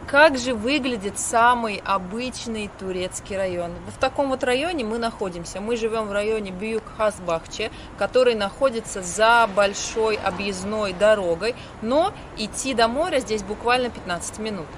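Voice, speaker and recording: A middle-aged woman host presenting in a measured, friendly way, standing outdoors on a balcony and a street, filmed from a few steps away. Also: medium pitch, fairly bright tone. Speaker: show host. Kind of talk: scripted presenting. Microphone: camera at medium distance. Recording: outdoor street noise behind.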